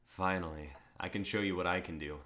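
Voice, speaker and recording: A man's voice: sits low, steady tone, fairly quick, sharp tone. A young man playing a brash, hot-headed card-summoning fighter, dramatic and cocky, in an amateur script reading. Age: young adult.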